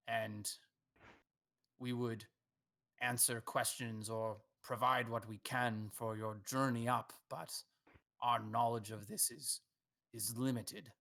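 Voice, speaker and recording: A man voicing an old male mouse elder who speaks with measured, courteous caution. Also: medium pitch, steady tone, vocal fry, fairly bright tone. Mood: solemn. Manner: courteous, measured, slightly weary.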